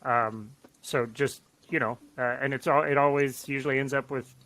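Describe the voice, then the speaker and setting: A man speaks as a podcast guest in a casual, conversational way. His voice sits at a middle pitch with a bright, forward edge. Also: fairly even intonation, normal speed, rough recording. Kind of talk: casual chat.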